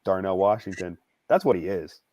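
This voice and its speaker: A man's voice: low voice, normal speed, a little mellow, no echo. A man in his twenties giving casual football analysis as a draft analyst. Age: 20s.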